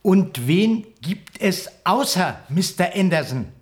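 An actor playing a reasoning, slightly superior time traveller in a radio play, explanatory and insistent. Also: male voice, high voice, animated, slow pace. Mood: sober, insistent. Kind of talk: German radio play acting.

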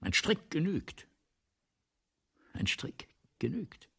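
Ailing, vain writer in radio drama: husky, gravelly, halting, theatrical. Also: male voice, on the high side, very animated, sharp tone, exaggerated voice. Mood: rambling, aggrieved. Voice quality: raspy, breathy, gravelly. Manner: theatrical, halting, repeats himself, swinging between whispers and outbursts. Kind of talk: German radio play acting.

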